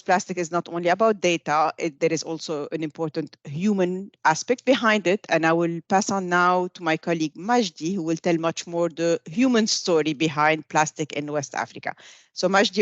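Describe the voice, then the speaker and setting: A woman's voice: on the low side, no room sound. Woman presenting over a dull, muffled connection. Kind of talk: informal explanatory presentation. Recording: dull, muffled online-call sound.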